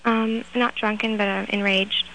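A woman in her twenties with a high voice, calling in to a radio advice show and answering hesitantly, with many pauses and ums. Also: flat delivery, sharp tone, a little hiss or hum, poor audio. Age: 20s. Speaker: caller.

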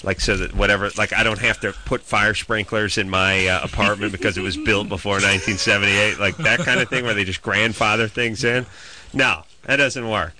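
A man's voice: lowish voice, steady tone, brisk, bright, forceful tone, a little hiss or hum. A man hosting a call-in radio show, joking and riffing in casual banter with many restarts.

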